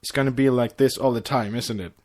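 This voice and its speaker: A man's voice: medium pitch, fairly even intonation, quick pace, a little mellow, close to an everyday voice. A man plays a young male pony who is a devoted boyfriend. He sounds easygoing and loving, in a cartoon story read aloud. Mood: loving, cheerful.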